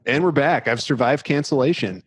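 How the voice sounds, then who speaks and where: A man's voice: mid-range voice, brisk, husky. A man co-hosting a podcast, chatting casually and bantering about games. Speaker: podcast co-host.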